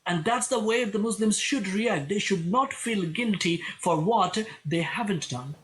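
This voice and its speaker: Man from Bangladesh, halting repetitions. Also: high voice, a bit bright, slight background noise. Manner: repeats words, restarts often. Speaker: political commentator and lecturer. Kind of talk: remote interview guest.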